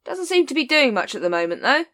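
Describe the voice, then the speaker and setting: A woman's voice: sits high, big pitch swings, fast talker, fairly bright tone, pitched above the performer's own voice. A woman voicing an earnest, dutiful young watchman who goes by the rules. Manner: earnest, rule-minded.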